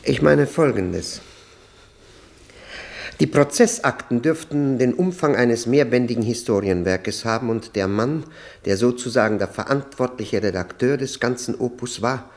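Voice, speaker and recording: An actor playing a grave, quietly insistent accuser in a radio play, measured, ironic and politely persistent. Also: male voice, mid-range voice, creaky. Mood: pointed. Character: grave, probing, quietly insistent accuser doubting the justice system. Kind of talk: German radio play acting, 1960.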